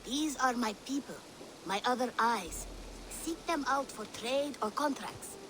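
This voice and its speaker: A high, lively female voice as a shrewd game merchant with secret connections. The delivery is formal and gracious, always selling, over clear background ambience. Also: measured pace, noisy background. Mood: smooth, courteous. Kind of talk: video game cutscene acting.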